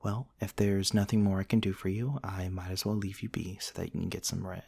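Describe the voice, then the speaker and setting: A man voicing a courteous, gentle, old-fashioned vampire in an ASMR roleplay, speaking in formal, polite and slightly hesitant phrases to the listener. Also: deep voice, steady tone, fairly quick, gravelly, breathy edge, heavily acted. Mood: gentle, teasing. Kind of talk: ASMR roleplay, soft-mannered dramatic monologue to the listener.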